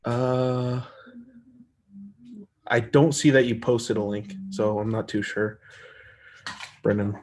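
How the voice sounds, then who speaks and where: A man hosting a casual live stream, reading viewer comments and answering them in an easygoing, rambling way with a soft voice. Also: mid-range voice, fairly even intonation, takes it slowly, long pauses, slight background noise. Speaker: arm wrestler hosting a live chat stream.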